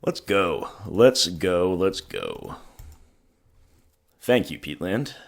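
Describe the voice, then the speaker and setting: A hoarse man thinking aloud in a wry, self-mocking way as he commentates his own gameplay. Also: low voice, wildly swooping pitch, drawn-out words. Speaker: gaming channel host.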